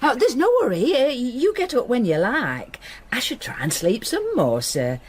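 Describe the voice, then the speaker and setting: A woman's voice: a little high, very animated, normal speed, slight background noise. A woman playing a kindly, deferential, slightly uneasy landlady with a Northern English accent in a radio drama. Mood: reassuring. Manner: deferential, homely, solicitous.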